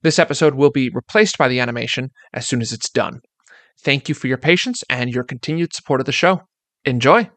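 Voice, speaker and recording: A man's voice: mid-range voice, a little fast, fairly bright tone, no echo. A man makes a scripted announcement in a mid-range voice. The recording is very dry, with no room sound.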